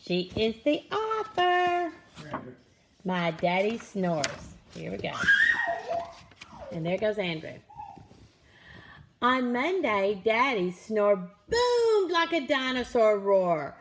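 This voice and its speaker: Very animated woman reading a children's story. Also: on the high side, takes it slowly, pauses often, slight background noise. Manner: animated, expressive, with dramatic gasps and exclamations. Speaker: storybook reader. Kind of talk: read-aloud of a children's picture book.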